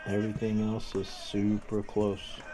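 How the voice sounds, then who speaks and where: A man calling a college tennis match live, calm in his analysis and lively when a big shot is played. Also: on the low side, unhurried. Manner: excited bursts on big shots, calm analysis between points. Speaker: tennis commentator. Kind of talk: live sports commentary. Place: outdoor tennis venue.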